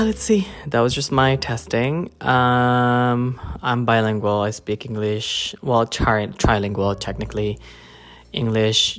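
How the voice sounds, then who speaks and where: Podcast host chatting solo, kinda slow n rambly, unscripted, bit hesitant, faint music behind. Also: medium pitch.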